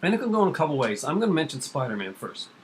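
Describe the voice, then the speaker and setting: A middle-aged man talks quickly and casually as the host of a homemade video. He rambles and gives firm opinions, with faint noise behind him. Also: fairly high pitch, sing-song. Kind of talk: casual chat about comics. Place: kitchen at home.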